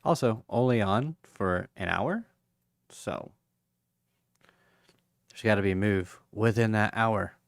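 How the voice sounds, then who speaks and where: A tired man with a fairly low, gravelly voice, winding down a livestream in a loose, rambling way full of ums. His voice sounds a little hoarse and he yawns through it. The sound is dry and close. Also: dry recording. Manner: rambling, halting, many fillers. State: tired, yawning; voice going hoarse. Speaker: crypto livestream host. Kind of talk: casual livestream sign-off chat.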